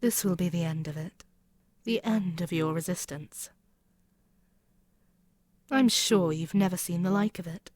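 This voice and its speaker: A woman's voice: fairly low pitch, measured pace, long pauses, a little mellow, a little hiss or hum, close to an everyday voice. A woman playing a haughty, scheming demon queen in an audio roleplay, taunting and menacing in a theatrical way. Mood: gloating, sinister. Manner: haughty, taunting, theatrical, dropping at times to near-whisper. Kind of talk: scripted ASMR audio roleplay, dramatic monologue.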